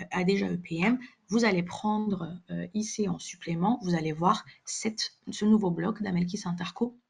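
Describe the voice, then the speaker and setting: A woman presenting a software webinar as a live explanatory demonstration, in a halting delivery with repeated words. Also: lowish voice, creaky, husky.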